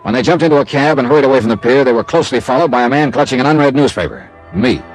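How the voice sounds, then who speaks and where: A man's voice: medium pitch, rapid-fire, faint music behind, natural voice, rough recording. An actor playing a wry, streetwise American male investigator narrating his case in a clipped, hard-boiled radio drama style. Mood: wry, intent. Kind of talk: classic radio detective drama, first-person narration.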